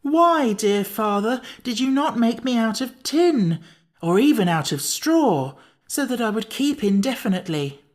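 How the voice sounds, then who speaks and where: A woman's voice: a little high, expressive, a little slow, darkish tone, pitched above the performer's own voice. A woman voicing an anxious, childlike pumpkin-headed man who whines about his fate. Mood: fearful. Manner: plaintive, whining.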